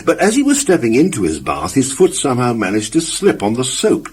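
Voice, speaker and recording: Male children's storyteller, medium pitch, husky, highly expressive, emphatic, speaking to the listener. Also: brisk. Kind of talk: scripted children's story narration.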